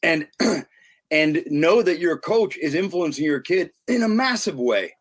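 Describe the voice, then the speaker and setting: A man's voice: a little high, animated, measured pace. Earnest middle-aged man with restarts. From United States. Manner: earnest and emphatic, with frequent restarts. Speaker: guest, youth sports consultant. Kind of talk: podcast interview talk.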